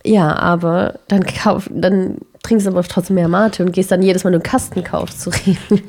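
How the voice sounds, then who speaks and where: A woman's voice: fairly low pitch, fairly even intonation, normal speed, darkish tone, slight background noise. A young woman hosting a podcast, chatting casually and teasing her co-host, laughing often. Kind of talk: casual chat.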